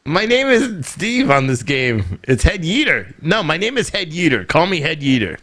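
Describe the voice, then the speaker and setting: A man's voice: a little high, very animated, moderate pace, fairly bright tone. A middle-aged man chatting casually and teasing as he streams an online game, often laughing. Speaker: podcast host streaming an online shooter game. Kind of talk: casual chat while gaming live.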